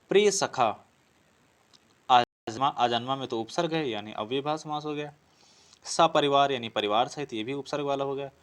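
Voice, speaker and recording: Man teaching through practice questions. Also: mid-range voice. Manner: explanatory, repeats phrases, poses questions and answers them. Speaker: teacher for competitive exam Hindi grammar. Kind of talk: classroom lesson working through practice questions.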